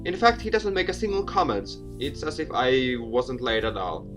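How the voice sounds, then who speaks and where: A man's voice: mid-range voice, animated, normal speed, music in the background. Theatrical man reading a game aloud. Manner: theatrical, stumbles over written words. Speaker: video game let's player, host. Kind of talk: reading a visual novel aloud with casual commentary.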